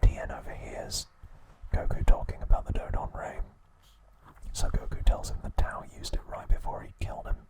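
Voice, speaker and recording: Whispering male ASMR narrator. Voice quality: soft unvoiced whisper. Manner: measured, soothing bedtime delivery. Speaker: ASMR channel host.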